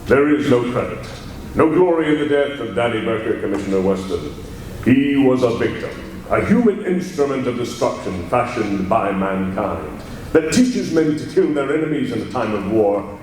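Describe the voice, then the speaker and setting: A man playing a mysterious male crime-fighter on a live stage, slow and commanding with a menacing laugh, in old-time radio style. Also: medium pitch, expressive, a little mellow, slight background noise, close to an everyday voice. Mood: solemn. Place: large hall, live stage. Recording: echoey hall from far off.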